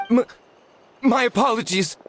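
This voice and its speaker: A man's voice: very high voice, unhurried, a bit bright. An actor playing a deferential, apologetic young tribesman in a video game, modest and a little nervous. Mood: startled, apologetic.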